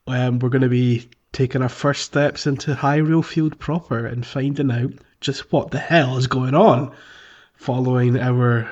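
Male podcast host, mid-pitched voice, casual gaming chat, slightly muffled lo-fi recording. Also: a little mellow.

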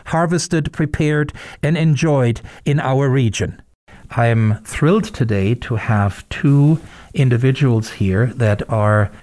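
A man hosts a community interview podcast, reading his prepared introduction in a steady, measured manner. His voice sits in an ordinary middle pitch. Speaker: podcast host.

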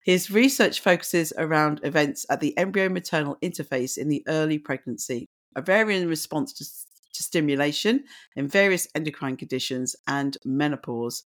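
A woman hosting a health podcast, hoarse and croaky from little sleep, reading a guest's introduction and asking interview questions. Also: sits low, normal speed. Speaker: podcast host.

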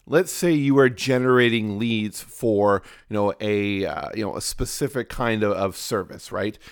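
A man's voice: fairly low pitch, unhurried, clear, resonant tone, dry recording, studio quality. A man with a cold, sounding slightly nasal, hosts a solo podcast in a casual coaching manner with many fillers and restarts. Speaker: podcast host.

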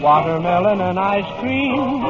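A man's voice: high voice, expressive, unhurried. A man singing a comic novelty song in a crooning style, on an old radio recording. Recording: muffled vintage radio recording with music. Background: musical accompaniment.

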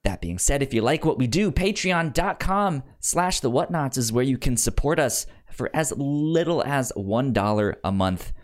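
A man's voice: mid-range voice, animated, normal speed, few pauses. A man hosting a podcast in casual, informal chat, repeating words for emphasis. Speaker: podcast host.

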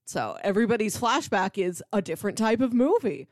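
A woman's voice: mid-range voice, big pitch swings, fairly quick, dry recording, studio quality. A woman co-hosting a podcast, reviewing television in a casual, explanatory conversational way. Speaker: podcast co-host.

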